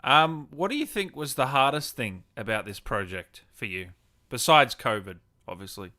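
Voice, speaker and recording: A man's voice: mid-range voice, expressive, moderate pace, pushed and bright. A man interviewing a guest as a livestream host, chatty and agreeable.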